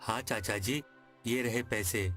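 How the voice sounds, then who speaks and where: A man's voice: medium pitch, slow pace, a bit bright, soft background music, pitched below the performer's own voice. A man voicing a poor, honest, mistreated nephew in a cartoon story, in a meek, pleading manner. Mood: meek, hurt.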